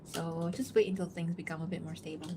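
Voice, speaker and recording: A woman's voice: on the low side, flat delivery, brisk, background noise. Chatty woman streamer from Malaysia. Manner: chatty, laughs often, reads out chat messages. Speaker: art streamer. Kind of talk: casual live-stream chat.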